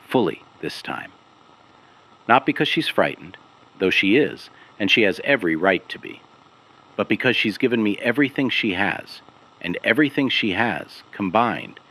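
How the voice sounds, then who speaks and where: A man with a deep voice narrates a serialised investigative mystery. His delivery is grave and carefully phrased, with deliberate pauses and lively movement in his intonation. It sounds like a scripted podcast host laying out a case. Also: rough recording. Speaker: host of an investigative audio series.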